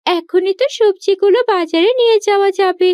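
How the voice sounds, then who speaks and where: A woman plays an eager young village daughter in a cartoon fairy tale. The voice is very high and girlish. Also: a little fast, a little mellow, no room sound, clean studio sound.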